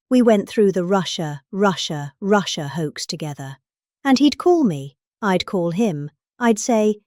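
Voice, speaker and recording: A woman's voice: mid-range voice, darkish tone, no room sound, studio quality. British woman reading with dramatic, swooping emphasis. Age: middle-aged. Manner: theatrical, exaggerated emphasis. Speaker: reader of a speech. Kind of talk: dramatic reading of a political speech.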